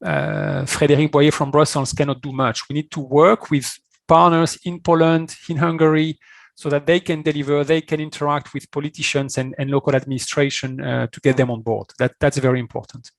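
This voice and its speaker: A man speaking off the cuff as a conference panelist, explaining in a halting way and often repeating words. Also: fairly high pitch, flat delivery, creaky, no echo. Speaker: conference speaker, climate initiative representative. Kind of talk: spontaneous explanation at an online conference.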